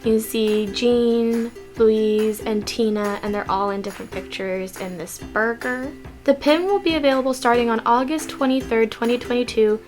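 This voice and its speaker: A young woman from California hosting a casual news vlog from her bedroom, keeping her voice lowered and stumbling a little as she reads articles aloud. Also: normal speed. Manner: hushed and lowered to stay quiet, stumbling over words while reading. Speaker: vlog host. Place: messy bedroom at home. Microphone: desk mic seen in corner of frame. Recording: background music playing under voice.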